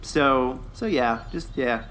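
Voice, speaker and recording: A man's voice: medium pitch, very animated, extremely slow, fairly bright tone, slight background noise. An American man co-hosting a podcast, talking in casual fan review chat. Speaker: podcast co-host.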